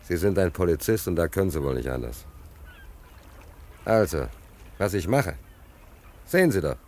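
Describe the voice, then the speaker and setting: A hungry homeless male drifter for a radio play. His voice is deep and swings widely in pitch. He rambles in everyday speech, repeating himself, defiant yet tender-hearted. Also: big pitch swings, moderate pace, a little mellow. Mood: defensive.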